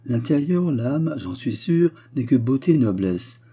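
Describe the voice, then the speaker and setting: A man's voice: mid-range voice, sing-song, a little slow, mellow, slightly rough, pitched above the performer's own voice. A man voicing a kind, idealistic young lady hostess in an audiobook, speaking politely and with effusive, earnest concern. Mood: musing.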